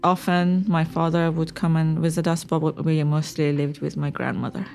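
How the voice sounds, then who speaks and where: A young woman from Iran telling her own story in a relaxed, chatty way, with fillers and small laughs. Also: deep voice, moderate pace, vocal fry. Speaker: interviewee. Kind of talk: personal storytelling interview.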